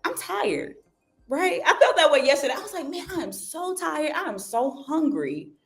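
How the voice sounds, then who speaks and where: A woman's voice: sits high, big pitch swings, moderate pace, fairly bright tone, soft background music. A young woman guest on a casual podcast, talking animatedly and acting out her own self-talk. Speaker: guest journalist.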